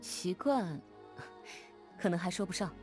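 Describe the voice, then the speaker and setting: A woman voicing a composed, watchful female warrior in a video game, speaking in a measured way. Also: lowish voice, animated. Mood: calm, watchful. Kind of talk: scripted video game voice demo. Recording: prominent music behind the voice. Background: clear background music.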